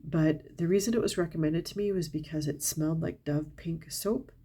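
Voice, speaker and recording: A woman's voice: deep voice, moderate pace, mellow, breathy edge. A middle-aged woman, congested from a cold, chatting candidly and enthusiastically as she reviews a haul of perfumes for her channel. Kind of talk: casual product haul talk to camera.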